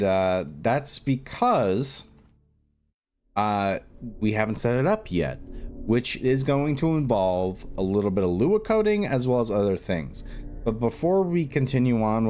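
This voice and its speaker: A man's voice: fairly low pitch, a little mellow. A man hosting a video tutorial in a casual, informal way, thinking aloud as he works through the steps. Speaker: video tutorial host and game modder. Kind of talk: casual screen-recorded tutorial narration.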